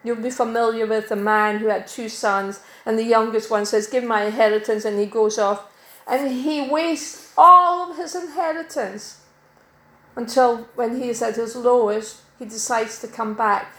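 A woman's voice: on the high side, fairly even intonation, fairly bright tone. Danish-born woman preaching in an echoey hall. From Denmark. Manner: measured, emphatic preaching with slow stresses. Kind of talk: sermon. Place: church.

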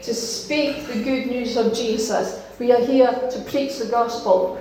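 High-voiced woman preaching in an echoey hall. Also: measured pace, warm tone. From Denmark. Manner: earnest, encouraging, speaks in short emphatic phrases. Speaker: pastor. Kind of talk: sermon. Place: church hall.

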